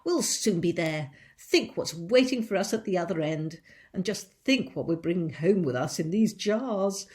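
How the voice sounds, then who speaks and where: A woman voicing a clever, cheerful male fox and father, brisk and upbeat, in an audiobook reading. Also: on the low side, expressive, a little mellow, close to an everyday voice. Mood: cheering.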